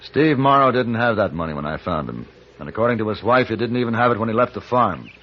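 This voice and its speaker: An actor playing a cool, shrewd western gunfighter in measured, terse old-time radio style. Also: male voice, fairly low pitch, animated. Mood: cool, guarded. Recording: muffled, worn old radio recording.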